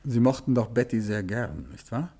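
An actor playing a calm, clever detective in a 1950s radio drama, coaxing and politely insistent. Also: male voice, mid-range voice, moderate pace, natural voice. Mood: probing. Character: calm clever male detective, gently probing.

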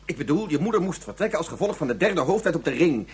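A man's voice: a little high, very animated, fast, noisy background. An actor playing a guilt-ridden scientist father in a radio drama, stammering and repeating his filler phrase as he over-explains. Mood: agitated, defensive. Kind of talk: Dutch radio drama acting.

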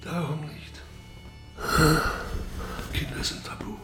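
An actor playing a grieving, troubled middle-aged father in a naturalistic radio drama, speaking in a halting, subdued way. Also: music in the background, lightly acted. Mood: uneasy.

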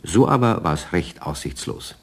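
A man narrating a children's radio play as an expressive, colloquial storyteller. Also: very deep voice, measured pace, vocal fry, breathy edge.

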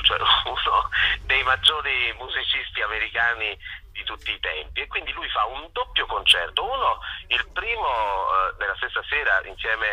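A man's voice: lowish voice, sharp tone, breathy edge, low-quality recording. A man speaking as a festival director in an informal interview, effusive and often correcting himself. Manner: enthusiastic, effusive, hesitant with frequent self-corrections.

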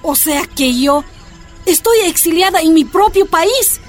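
Very high, slow, plaintive wounded woman. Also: lively intonation, soft background music. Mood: dismayed. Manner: plaintive, emotional. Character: weak, wounded miner's wife, anguished and defiant. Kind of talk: radio drama acting.

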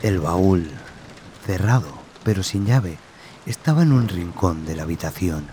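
A man narrating a scripted horror story in a measured, suspenseful manner with dramatic pauses. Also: low voice, animated, a little hiss or hum. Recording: loud music under the voice. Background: loud music bed.

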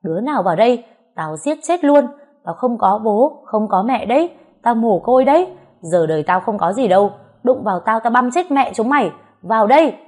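A woman's voice: medium pitch, brisk, lightly acted. Cornered orphan girl, young adult, for audio story, voiced by a woman narrator: desperate, protesting, standing her ground. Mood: desperate, defiant. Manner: pleading, then shouting defiant threats.

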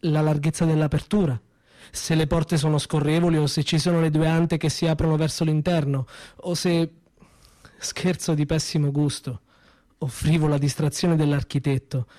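Actor as a sardonic, high-voiced injured workman. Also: little pitch movement, normal speed, a little mellow, lightly acted. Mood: bitter irony. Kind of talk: theatrical monologue in a radio play.

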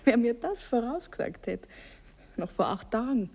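A young married lady in a radio drama, coy and anxious. Her soft voice is breathy and husky, with a fretful, protesting manner. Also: fairly high pitch, sing-song, normal speed. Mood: remorseful. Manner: fretful, protesting, formally addressing. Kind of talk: radio play acting.

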